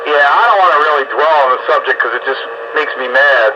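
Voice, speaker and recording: A man's voice: mid-range voice, fast. Man on a muffled, noisy radio link. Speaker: amateur radio hobbyist. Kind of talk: casual chat over two-way radio.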